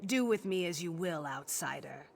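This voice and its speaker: An actress playing a defiant, unrepentant female zealot in a fantasy video game, with a raspy, strained voice. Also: on the low side, expressive, moderate pace. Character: defiant female zealot serving a god's higher cause.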